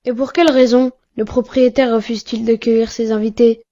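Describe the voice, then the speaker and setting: Woman voicing an earnest boy, soft high voice. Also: flat delivery, brisk, natural voice. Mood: contrite. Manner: earnest, courteous. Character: earnest, polite, sensitive pious boy. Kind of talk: didactic cartoon dialogue.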